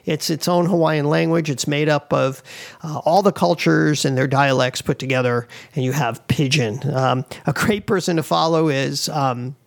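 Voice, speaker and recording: A man's voice: fairly high pitch, moderate pace, creaky, dry recording, studio quality. A middle-aged man with an East Coast accent, hosting a podcast and giving rambling casual advice with many fillers and restarts. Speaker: podcast host.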